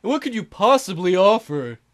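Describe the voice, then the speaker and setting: A man's voice: sits high, sing-song, a little fast. A man doing a smug drawling villain. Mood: smug menacing. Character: smug scheming male relic dealer villain.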